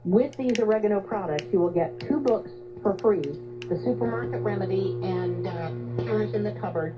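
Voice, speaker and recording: A woman with an average-pitched voice hosts a radio show. She delivers an unscripted sales pitch to listeners. The shortwave sound is dull and lo-fi, and music plays behind her. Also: moderate pace. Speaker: radio host selling supplements.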